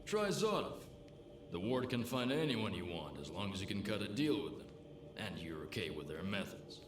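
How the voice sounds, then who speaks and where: A man playing a world-weary, pragmatic bartender in a lawless zone, with gruff, slangy video game dialogue. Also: lowish voice. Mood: cautious, matter-of-fact. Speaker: bartender character. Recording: roomy echo with noisy game ambience.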